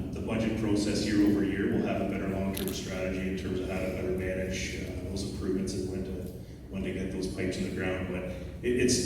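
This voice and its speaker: A man's voice: fairly low pitch, background noise. Distant man answering in an echoey hall. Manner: measured, explanatory, frequent restarts. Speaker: town public works official. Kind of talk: answering questions at a public meeting. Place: council chamber.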